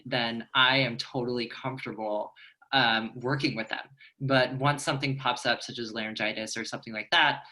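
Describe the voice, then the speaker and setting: Bright-voiced male panel speaker. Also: mid-range voice, poor audio. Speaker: voice practitioner and panel moderator. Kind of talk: panel discussion, speaking off the cuff.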